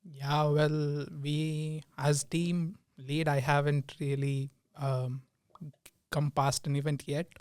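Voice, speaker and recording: A man's voice: a little high, flat delivery, slow, smooth, dry recording, clean studio sound. Hesitant young man from India. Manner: hesitant, laughs often. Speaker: guest, team lead. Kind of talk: casual interview chat.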